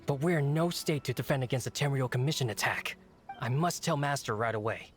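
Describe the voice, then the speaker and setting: A man plays a loyal young retainer in a game who speaks politely and earnestly, with an undercurrent of worry. His voice sits at an ordinary middle pitch over faint music. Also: brisk, natural voice. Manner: earnest, polite, slightly anxious. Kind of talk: game voice acting.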